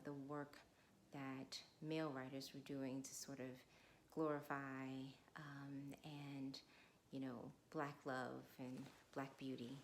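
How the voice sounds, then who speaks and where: A middle-aged woman speaking with measured, deliberate phrasing, as a guest reader at a literary read-along. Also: very deep voice, slight background noise. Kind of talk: informal introduction, then literary read-aloud.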